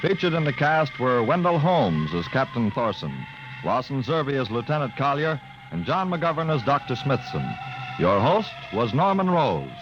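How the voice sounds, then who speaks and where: A man's voice: mid-range voice, sing-song, normal speed, over music. A man announcing a 1950s radio show in scripted style. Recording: muffled old radio recording.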